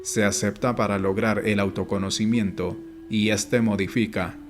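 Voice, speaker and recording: A man reading an audiobook as scripted narration, in a measured, instructive way. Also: fairly low pitch, steady tone. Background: background music.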